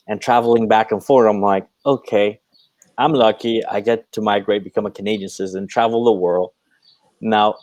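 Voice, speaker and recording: A man's voice: medium pitch, darkish tone, no echo. A man from Guyana, speaking as a guest on a video call, earnest and repeating words as he thinks.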